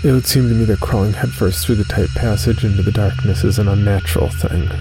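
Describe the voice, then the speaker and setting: A man playing a haunted, driven amateur caver, reading his journal in a solemn, deliberate horror narration. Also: on the low side, creaky, rough recording. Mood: reflective. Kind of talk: dramatic first-person horror narration. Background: music under the voice.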